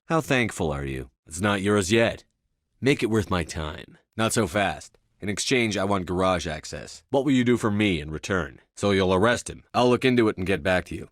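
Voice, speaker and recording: Low-voiced, commanding space soldier. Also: male voice, no room sound, studio quality. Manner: terse, authoritative, controlled. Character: stern, commanding male space soldier hero, adult. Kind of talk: video game dialogue acting.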